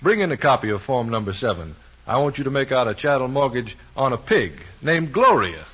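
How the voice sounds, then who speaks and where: Genial small-town American bank president, male actor: fatherly, folksy, lively intonation, old-time drama on a lo-fi recording. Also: mid-range voice, brisk, noisy background, close to an everyday voice. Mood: amused. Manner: folksy, avuncular, hesitating. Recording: dull, lo-fi vintage recording.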